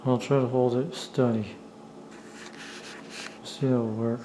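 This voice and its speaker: A man's voice: medium pitch, fairly even intonation. Man in casual show-and-tell, noisy recording. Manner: halting, apologetic, talking himself through handling the camera. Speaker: amateur radio hobbyist showing a device. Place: indoors at a table. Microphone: handheld camera's own microphone.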